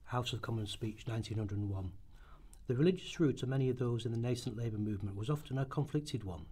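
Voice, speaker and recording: A man reads scripted historical narration in a rehearsal. His voice sits at a middling pitch with a slight husk, and he occasionally trips over a phrase. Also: fast talker, mellow, husky. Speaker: narrator in a radio play rehearsal. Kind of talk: scripted documentary-drama narration, read aloud.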